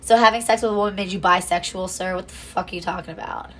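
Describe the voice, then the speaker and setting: A woman's voice: on the low side, steady tone, quick pace, a bit bright, slight background noise. A young woman giving casual, blunt true crime commentary from a small home room, swearing freely and breaking into sarcastic asides. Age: young adult. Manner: blunt and profane, sarcastic asides, frequent restarts.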